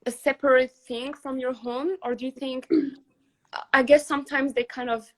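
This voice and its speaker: A woman hosting a podcast, asking long, hesitant questions in a relaxed chat. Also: high-pitched, moderate pace. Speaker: podcast host. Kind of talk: casual interview questions.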